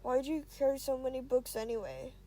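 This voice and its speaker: Cool, smooth young guy student, played by a woman, nonchalant and unhurried, for an audio story. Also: high-pitched, mellow.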